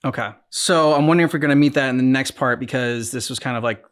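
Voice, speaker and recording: A man with a mid-pitched voice co-hosts a casual game reaction show. He speaks haltingly, working out his thoughts aloud in a conversational way. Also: a bit bright, clear, resonant tone. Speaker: co-host of a game reaction channel. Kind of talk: casual discussion and commentary.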